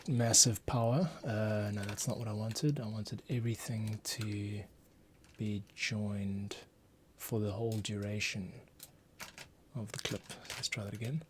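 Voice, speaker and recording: A man giving a casual screencast tutorial, thinking aloud and muttering to himself with pauses as he works. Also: lowish voice, fairly even intonation. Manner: muttering to himself, pausing while working. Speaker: composer, streamer host. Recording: faint noise, keyboard and mouse clicks. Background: typing, mouse clicks.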